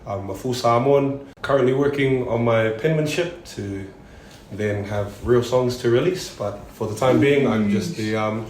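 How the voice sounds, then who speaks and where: A man, a hype man and DJ talking earnestly, with pauses and fillers, on a podcast. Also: medium pitch, a little mellow, slight background noise. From New Zealand. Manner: earnest, reflective, many fillers. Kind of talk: casual podcast chat. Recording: roomy room with music underneath.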